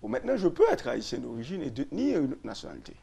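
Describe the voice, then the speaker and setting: A man, a lawyer and radio guest, explaining constitutional articles slowly, repeating and restarting phrases. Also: fairly high pitch, huge pitch swings, gravelly, mellow, breathy edge. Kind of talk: explaining constitutional law on a radio talk show.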